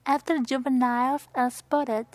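A woman with an Indonesian accent doing a casual vlog, reading questions aloud, soft-spoken with whispered asides and giggles. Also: sits high, steady tone, a little slow, no room sound. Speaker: vlogger.

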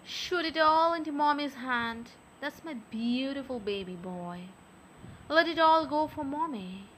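A young adult woman speaking softly and close to the mic in an intimate audio roleplay, with a teasing, coaxing manner. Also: high voice, lively intonation, a little hiss or hum, rough recording. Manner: soft, slow, teasing and coaxing.

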